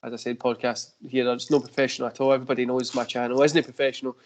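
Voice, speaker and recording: A man's voice: mid-range voice, flat delivery, very fast. A Scottish man with a Glasgow accent, hosting a casual wrestling podcast, rambling and laughing as he talks. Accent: Glaswegian Scottish. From Scotland. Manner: rambling, laughs often, swears. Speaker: podcast host.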